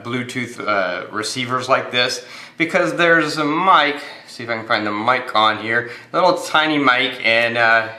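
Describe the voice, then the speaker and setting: An American man with a bright, forward voice at a medium pitch talks through a casual product review. He explains in an unscripted way, pausing and repeating words as he goes. The room adds a noticeable echo.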